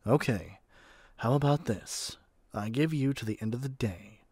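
A man's voice: medium pitch, animated, measured pace, a little mellow, breathy edge, no room sound. A man playing a haughty, impatient god in an audio roleplay, with an imperious, theatrical way of talking. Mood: impatient, exasperated. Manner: imperious, theatrical, addresses listener as mortal. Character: haughty, impatient male god of magic rooming with a human.

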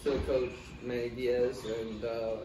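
A man's voice: mid-range voice, a little slow. Laid-back young man, roomy distant sound. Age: young adult. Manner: relaxed, many fillers like "like" and "um". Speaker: guest, tennis player. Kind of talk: casual interview answers. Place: small room, in doors, seated in armchair. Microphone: far camera.

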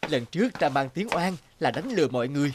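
A man's voice: fairly high pitch, sing-song, fast, background noise. A man voicing a burly, gullible young woodcutter with a boastful, hot-tempered streak in a cartoon. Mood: earnest, proud. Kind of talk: cartoon voice acting.

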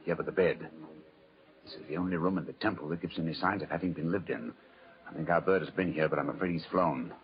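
An actor playing a commanding, keen-witted English detective in 1940s radio style, crisp and authoritative. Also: male voice, sits low, fast. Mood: urgent. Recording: muffled, noisy old radio recording.

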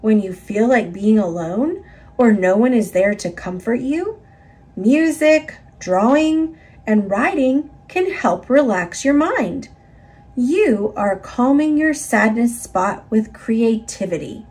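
Female storybook reader, slightly husky, very animated intonation, teaching young children. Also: fairly high pitch, slow. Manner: expressive, instructive delivery for young children. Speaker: picture book narrator. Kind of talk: read-aloud children's storytelling.

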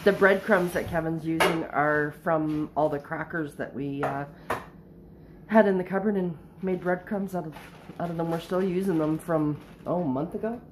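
Woman, deep voice, teasing, laughs a lot, home kitchen, background noise. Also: normal speed. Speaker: camera operator and co-host. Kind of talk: casual chat from behind the camera.

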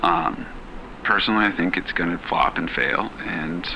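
An actor playing a jaded, awkward working man who haltingly records a personal audio journal in a horror game. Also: low voice, creaky, pushed and bright, a little hiss or hum, exaggerated voice, rough recording. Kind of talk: improvised-sounding audio diary in horror game.

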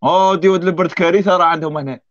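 A man joining a live stream call, trading quick jokes. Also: high-pitched, fairly even intonation, no room sound. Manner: very fast, joking banter. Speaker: participant. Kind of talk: casual call-in chat. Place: outdoors in the cold. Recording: dry, dull lo-fi call audio.

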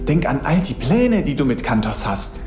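Male actor as a soothing lover, lively pitch, echoey room. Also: normal speed, music in the background, close to an everyday voice. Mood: coaxing. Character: Eva's coaxing, doting male partner.

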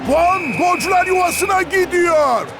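A man voicing an excitable, playful football commentator in a cartoon dub, announcing loudly and theatrically. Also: very high-pitched, unhurried. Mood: excited. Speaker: match commentator. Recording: music behind the voice.

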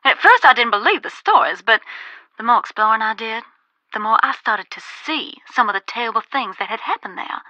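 An actress playing a folksy, wistful ghost-hunting author from a video game, with a twangy Georgia accent. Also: female voice, on the high side, lively intonation, fairly quick, pushed and bright, no room sound, dull audio. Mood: somber. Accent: Southern US, Georgia. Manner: folksy, storytelling. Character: wistful Southern woman ghost hunter and author.

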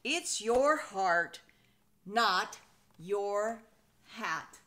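An older American woman in her sixties reads a children's picture book aloud with expressive, folksy Western storytelling. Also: mid-range voice, drawn-out words. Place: indoor room at home.

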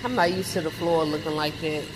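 Young woman, truck driver, casual vlog, animated, handheld camera, noisy background. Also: deep voice, fairly quick. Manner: chatty, animated, addresses viewers as y'all. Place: hotel and truck cab. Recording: background noise.

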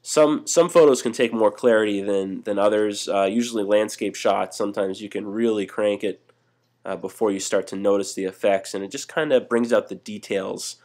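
A young man casually talking through photo editing steps in a screen-recorded tutorial, relaxed and full of "uh" fillers. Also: on the low side, normal speed. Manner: relaxed, many "uh" fillers and restarts.

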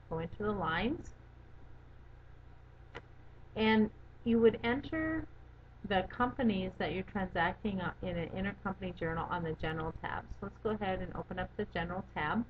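Woman narrating a software tutorial, dull sound. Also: medium pitch, normal speed, long pauses. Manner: methodical, instructional. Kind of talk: step-by-step software walkthrough narration. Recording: dull lo-fi audio with background noise.

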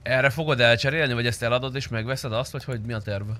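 A man's voice: medium pitch, sharp tone. A young man chatting casually with other players while streaming a roleplay game. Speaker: streamer playing GTA roleplay. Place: indoor streaming room.

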